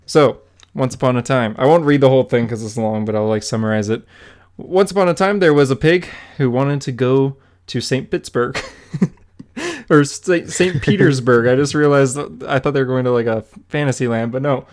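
A man's voice: mid-range voice, normal speed, a little mellow. Young man, giggly comic-book podcast host. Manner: laughs often while summarizing a story.

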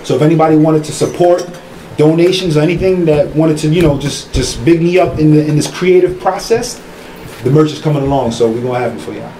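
A man's voice: fairly high pitch, fairly quick, mellow. Rambling man in an echoey room. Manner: loose, rambling, repeats himself. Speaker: guest musician. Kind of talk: casual podcast talk. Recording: echoey room with faint noise.

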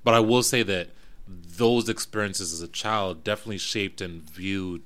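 Podcast host, young man of West African family from New Jersey, slightly low, bright and forceful voice, thoughtful, halting. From West African family, raised in New Jersey. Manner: reflective, thinks aloud with restarts. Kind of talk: casual conversation.

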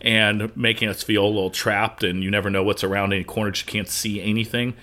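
A man's voice: lowish voice, flat delivery, fairly quick, bright, forceful tone. A man co-hosting a podcast, chatty and analytical, joking while he discusses a movie. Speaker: podcast co-host.

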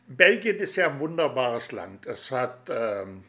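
A man's voice: medium pitch, sing-song, a little slow, pushed and bright. A man hosting an interview, asking rambling, friendly questions full of fillers. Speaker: interview host. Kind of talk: chatty radio-style interview.

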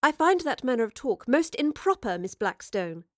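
A woman's voice: on the high side, very animated, moderate pace, no echo, clean studio sound. A woman playing a prim, stern widow. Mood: stiff. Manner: prim, formal.

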